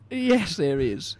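Playful man, high and lively. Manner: playful, mock-formal questioning. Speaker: podcast co-host. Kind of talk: comedic podcast banter.

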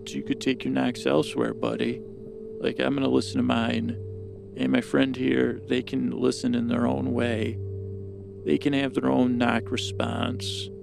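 A man's voice: medium pitch, vocal fry. A man hosting a podcast, improvising a meandering monologue that halts, repeats itself and trails off. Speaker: podcast host. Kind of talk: rambling improvised bedtime story monologue.

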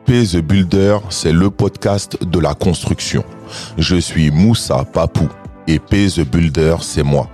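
A low-pitched man hosting a podcast. He asks short, relaxed questions, with faint music sometimes under his voice. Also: slow pace. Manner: brief, relaxed prompting questions. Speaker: podcast host. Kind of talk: scripted podcast intro, then casual interview.

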